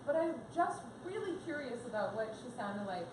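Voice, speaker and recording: An upbeat woman host leads a live panel, her voice fairly high and encouraging. The room echoes around her. Clear background noise from the shop hangs behind. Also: sing-song, moderate pace. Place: coffee and comics shop event.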